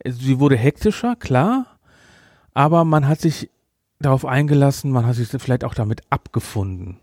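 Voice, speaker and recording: A man hosting a podcast, giving a casual review in a blunt, emphatic, halting way. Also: medium pitch, lively intonation, darkish tone, no echo, clean studio sound. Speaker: podcast host.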